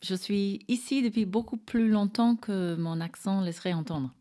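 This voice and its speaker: A woman's voice: medium pitch, moderate pace, dark tone. A middle-aged woman from Australia, a native English speaker with an Australian-English accent, chatting as an interview guest with wry, understated humour. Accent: Australian-English accent in French. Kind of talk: casual conversation.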